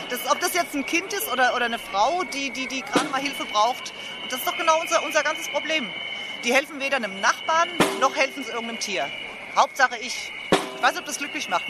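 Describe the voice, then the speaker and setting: A woman's voice: high voice, animated, brisk, very noisy. A woman speaking emphatically and indignantly as a passer-by in a street interview. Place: outdoors at a street demonstration. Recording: music behind, outdoor street interview.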